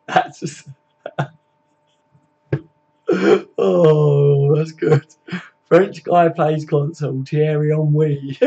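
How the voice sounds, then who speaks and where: A man's voice: a little high, mellow, lo-fi sound. A man, hosting a casual live stream, chatting matily with viewers and laughing easily. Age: 20s.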